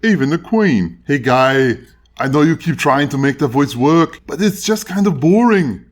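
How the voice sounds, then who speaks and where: A man's voice: on the high side, animated, moderate pace. A man voicing a friendly, curious, easygoing character who reads a children's storybook aloud. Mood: upbeat.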